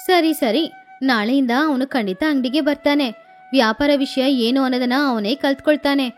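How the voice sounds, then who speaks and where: A woman's voice: sits high, brisk, lightly acted. A woman voicing a middle-aged mother who coaxes her husband and scolds her son in a cartoon story, with faint music behind her.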